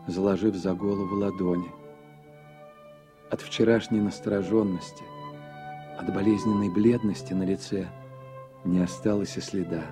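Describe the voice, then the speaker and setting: A man narrating a literary radio drama in a measured, even storytelling manner. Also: fairly low pitch. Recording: soft music under the voice. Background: music.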